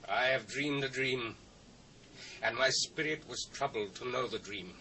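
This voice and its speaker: An imperious, suspicious ancient king with a British accent declaims like a ruler used to obedience in a scripture drama. The voice is sharp and forceful, set back from the mic with faint tape noise. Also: mid-range voice, a little slow. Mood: troubled. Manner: commanding, declamatory. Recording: distant, noisy old cassette transfer.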